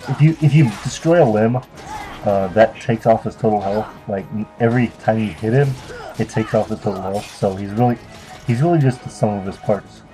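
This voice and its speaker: A man's voice: lowish voice, a little fast, dark tone, poor audio. A young man giving casual, unscripted video game commentary in a hesitant, rambling, offhand way. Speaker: let's play commentator. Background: video game music.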